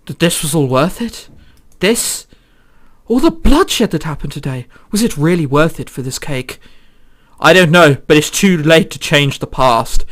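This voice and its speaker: A man voicing a young, frantic student hero in a visual novel, exclamatory in his delivery. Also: fairly high pitch.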